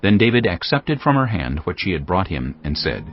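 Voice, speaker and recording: A man narrating scripture from a script in a measured, solemn storytelling manner. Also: deep voice, very animated, dull audio.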